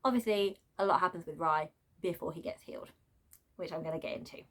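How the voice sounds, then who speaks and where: A woman giving an unscripted, chatty book rant as a reviewer, exasperated and prone to animated asides. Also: on the low side, fairly quick. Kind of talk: unscripted book rant, casual vlog chat.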